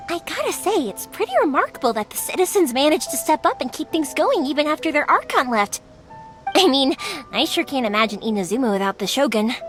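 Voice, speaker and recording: A woman playing a cheerful, caring young fireworks maker in an anime game, breezy and expressive. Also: high-pitched. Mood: friendly, sympathetic.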